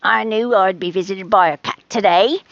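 A woman's voice: medium pitch, lively intonation, slow, no echo, highs cut off. A woman playing an eccentric, brusque old woman who tells fortunes in a storybook, with theatrical, mysterious pronouncements. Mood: smug.

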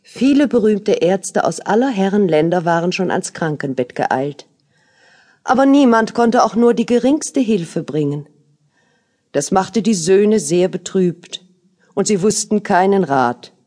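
A woman's voice: mid-range voice, lively intonation, unhurried, mellow. A woman reading scripted fairy-tale narration as the storyteller of an audio play.

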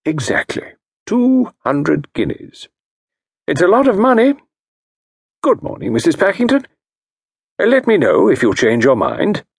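A man voicing a calm, self-assured middle-aged consultant who speaks in a measured, soothing way. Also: high-pitched, breathy edge, dry recording, studio quality, pitched above the performer's own voice, heavily acted.

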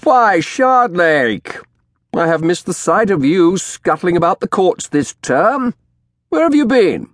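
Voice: medium pitch, big pitch swings, slow pace, no room sound, clean studio sound, pitched above the performer's own voice. A man voicing a sneering, arrogant lawyer in a historical audiobook, with a mocking, theatrical manner. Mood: mocking greeting.

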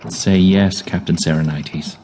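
An actor playing a bluff, paternal army general in an audio drama, plain-spoken and commanding. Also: male voice, sits low, steady tone, a little slow, darkish tone, a little hiss or hum. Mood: approving. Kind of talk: full-cast audio drama acting.

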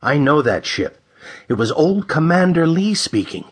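A man's voice: fairly high pitch, very animated, a little slow, husky, pitched above the performer's own voice, heavily acted. A man voicing a serious, taut ex-navy space outlaw in a pulp science fiction audiobook. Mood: grave. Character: tense alert human space outlaw captain, ex-navy.

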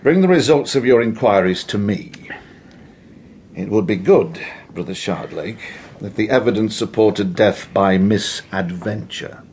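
An actor playing an overbearing, impatient Tudor official with a husky voice and a brusque, contemptuous manner, in a radio drama. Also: male voice, lowish voice, animated, a little slow, darkish tone, a little hiss or hum. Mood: brusque, commanding. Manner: brusque, commanding. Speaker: senior official. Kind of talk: full-cast radio drama.

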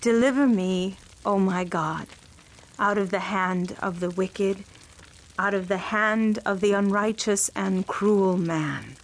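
A woman playing a devout, anxious, gentle young wife with an earnest, tender manner in a children's audio drama. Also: medium pitch, takes it slowly, rough recording. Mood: reverent.

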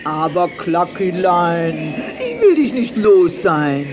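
A woman's voice: fairly low pitch, sing-song, slow, a crowd in the background. An actress playing a grumpy but caring old female witch, hundreds of years old, in a theatrical, scolding children's audio play style. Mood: soothing, firm. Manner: theatrical, scolding, swooping exclamations.

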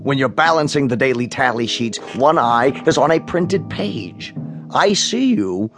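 A stern, pompous male bank president in a radio drama. He speaks at an ordinary male pitch that swings widely, stretching and stressing words with officious, browbeating precision. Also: expressive, a little slow, music in the background. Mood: exasperated, pompous.

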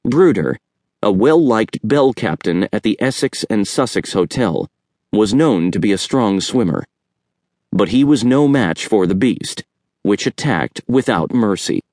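A man's voice: on the low side, expressive, unhurried, darkish tone, dry recording, studio quality. A man reading a children's story aloud as scripted audiobook narration.

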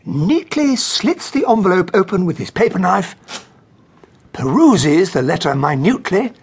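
An actor playing a genial, impatient English gentleman sidekick and narrator in a radio drama, with a British accent and a husky voice. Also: high-pitched, sing-song, a little slow, exaggerated voice. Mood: wry storytelling.

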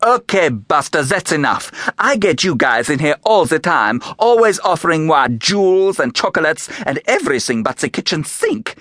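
A man's voice: on the high side, big pitch swings, moderate pace, hardly any pauses, a bit bright, no echo, clean studio sound, pitched above the performer's own voice. A man reading an audiobook, putting on the voice of a sassy, touchy showgirl contortionist who sprinkles in fake French words. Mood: indignant. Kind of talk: audiobook character voice.

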